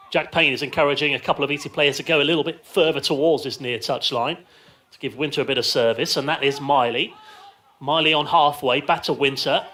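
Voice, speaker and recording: A man commentates live on a football match for radio. His voice sits a little higher than average. He speaks in short, descriptive bursts that follow the ball, calling it from a stand at the ground. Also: a bit bright. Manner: short clipped descriptive phrases, lifting with the play.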